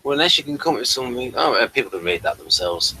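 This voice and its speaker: A man hosting a casual review chat, talking in a halting, rambling way. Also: medium pitch, very animated, sharp tone, a little hiss or hum, rough recording. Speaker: host of a TV review talk.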